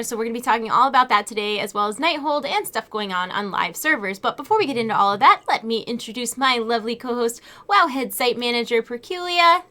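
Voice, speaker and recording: Bright, chatty woman hosting. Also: on the high side. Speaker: host. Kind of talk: casual video game podcast chat.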